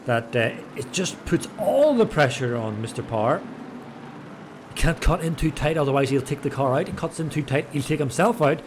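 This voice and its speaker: A man giving chatty, excitable live commentary on an online race, stumbling over drivers' names. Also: on the high side, normal speed, noisy background. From Ireland. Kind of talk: live sim racing commentary.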